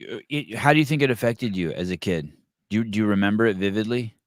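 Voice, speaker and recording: A man of Armenian first language hosting a podcast, asking rambling, halting questions in a frank, casual way. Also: lowish voice, no room sound, clean studio sound. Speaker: podcast host. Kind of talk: casual interview talk.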